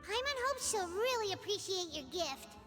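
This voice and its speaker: A woman voicing a tiny female fairy companion with a high, childlike voice who talks about herself in the third person. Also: expressive, normal speed. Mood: cheerful. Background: game music.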